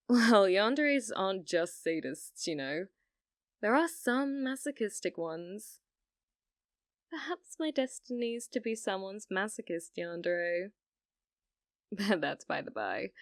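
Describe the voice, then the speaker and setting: A woman's voice: mid-range voice, no echo, studio quality. A woman playing a flirty, possessive yandere rental date in an ASMR roleplay, teasing and coy, coaxing the listener. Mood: amused, musing. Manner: teasing, coy, slow and deliberate, drops to whispers.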